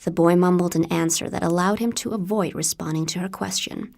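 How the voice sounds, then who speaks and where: A woman from the Netherlands, a native Dutch speaker, reading scripted voice-reel narration in an American accent with a breathy voice. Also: on the low side, fairly even intonation, brisk, darkish tone. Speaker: voice actor.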